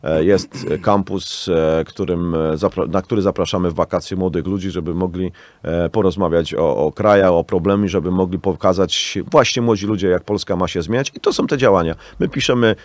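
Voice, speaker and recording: A man in his thirties argues his case as a radio interview guest, leaning on hesitant fillers and polite, formal address to the host. His voice sits fairly low. The studio sound is close and dry, with no room echo. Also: a little fast, hardly any pauses. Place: small radio studio with acoustic panels. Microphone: studio microphone on arm.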